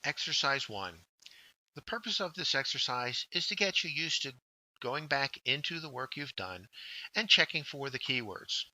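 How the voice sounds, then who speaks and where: A man narrating a scripted instructional workshop, explaining step by step with deliberate emphasis on key words. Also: mid-range voice, lively intonation, sharp tone, no echo, poor audio. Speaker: workshop instructor, journal editor.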